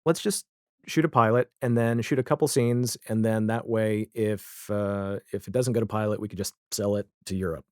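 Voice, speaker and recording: Male American podcast guest, forties, low register, casual anecdotal delivery, dry recording. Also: fairly even intonation, normal speed, no echo. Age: 40s. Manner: chatty, acts out imagined dialogue. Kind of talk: casual anecdotal interview chat.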